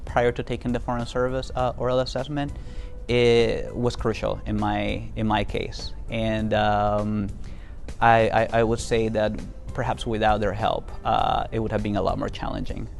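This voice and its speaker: A young man from Venezuela talks in a measured, earnest way, often pausing on fillers and restarting his sentences. His voice sits low and has a gravelly, creaky texture. Soft music plays quietly beneath him. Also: flat delivery. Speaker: Foreign Service officer. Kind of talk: interview testimonial.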